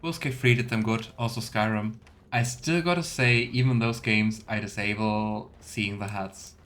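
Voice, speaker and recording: A man's voice: fairly low pitch, steady tone, normal speed, a bit bright. A man giving casual let's play commentary, thinking aloud in loose fragments. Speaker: game streamer. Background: faint game music.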